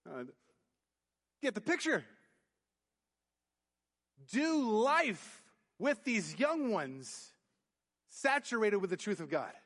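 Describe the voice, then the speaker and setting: A youth pastor preaching a sermon in church, booming then dropping to quiet emphasis. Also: male voice, very animated, takes it slowly, long pauses. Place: church sanctuary. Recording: long hall reverb. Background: hall reverb.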